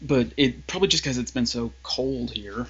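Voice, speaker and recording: A man co-hosting a podcast, chatting casually in a measured way and asking questions to steer the talk. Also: medium pitch, fairly bright tone, husky. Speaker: podcast host. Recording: dull lo-fi podcast audio.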